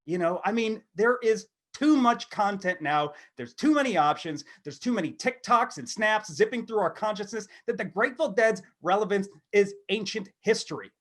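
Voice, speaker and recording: A man in his thirties co-hosting a comedy podcast, talking casually in a wry, halting, self-deprecating way. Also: sits high, lively intonation. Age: 30s. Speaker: podcast co-host. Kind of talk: casual comedic monologue and chat.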